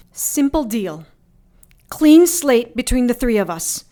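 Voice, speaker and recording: A woman playing a defiant, sharp-tongued heroine in an audio drama, sarcastic and deliberate in manner. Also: fairly high pitch, sing-song. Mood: cool resolve. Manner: sarcastic, deliberate, bargaining coolly.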